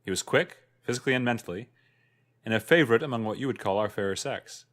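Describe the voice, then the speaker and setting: A man voicing an ageless, wistful immortal who tells a first-person fantasy tale in a solemn, measured way. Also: mid-range voice, a bit bright, lightly acted. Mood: wistful, admiring. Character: ageless, wistful immortal recounting an old jealous friendship.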